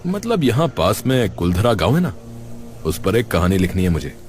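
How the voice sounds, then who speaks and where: A man playing a polite, inquisitive young student in an animated story. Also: low-pitched, moderate pace, background noise. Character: curious young male student researching a village story.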